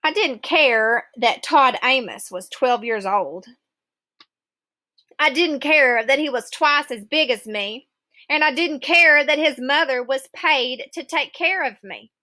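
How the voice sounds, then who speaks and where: A woman reading a children's novel aloud as an expressive storybook narrator. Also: sits high, slow pace.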